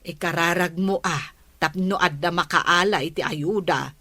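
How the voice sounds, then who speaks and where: A woman's voice: fairly low pitch, measured pace, sharp tone, no echo, studio quality. A woman with an Ilocano accent playing a patient, earnest village captain's wife in a radio comedy drama. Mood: patient, explaining.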